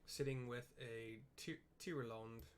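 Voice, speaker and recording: Rambling casual male gamer, mid-pitched. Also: fairly even intonation, takes it slowly, slight background noise. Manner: rambling, thinking aloud. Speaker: gaming video host. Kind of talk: casual chat while playing and reading game text aloud.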